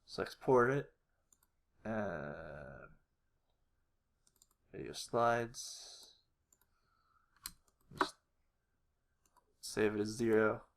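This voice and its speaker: A man's voice: fairly low pitch, animated, extremely slow, vocal fry, long pauses, a bit bright, slight background noise. A man narrating a casual tutorial, hesitant and unrehearsed, thinking aloud as he works. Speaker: animator, video creator.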